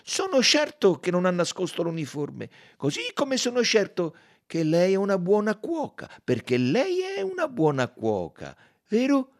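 A man voicing a shrewd, courteous detective from a novel, self-assured and theatrical, with emphatic, deliberate delivery. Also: sits high, very animated, darkish tone, voice pushed up. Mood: soothing.

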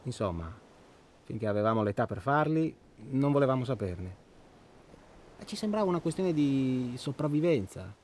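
A male actor plays a defensive, childless married man in a radio drama. He is irritable and bitter, with a middling pitch. He speaks naturalistically, stumbling over phrases, repeating them and swearing. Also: big pitch swings, darkish tone, a little hiss or hum, natural voice. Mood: exasperated, wry. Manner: profane, halting, repeats himself.

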